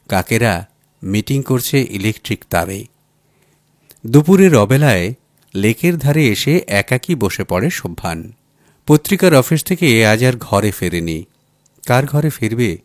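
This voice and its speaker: A man's voice: fairly low pitch, dry recording, studio quality. A man narrates a literary short story in a dramatic audiobook style. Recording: music bed under the voice. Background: background music.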